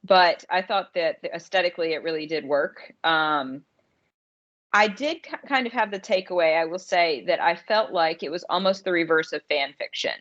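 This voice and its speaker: A woman speaks as a podcast co-host in a casual, conversational style. Her voice sits a little low for a woman. The audio is slightly dull and lo-fi. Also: normal speed, a bit bright. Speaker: podcast co-host and romance author.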